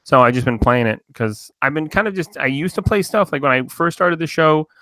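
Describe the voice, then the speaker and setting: A man's voice: a little high, animated, a little fast, bright, forceful tone, dry recording. A man from New Jersey hosting a podcast, rambling in loose casual chat with lots of fillers and swearing. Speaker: podcast host.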